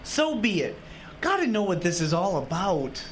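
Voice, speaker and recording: A man's voice: high voice, sing-song, normal speed. A man playing an eager, stubborn, teasing young husband in an audio drama. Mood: determined. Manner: eager, coaxing. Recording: clearly noisy amateur radio drama recording.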